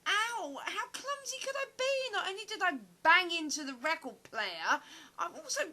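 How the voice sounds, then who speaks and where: A woman's voice: very high-pitched, very animated, normal speed, bright, forceful tone, heavily acted. A woman playing a flustered, self-deprecating actress in an audio play, chatty but hesitant and prone to stammering. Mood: pained, flustered.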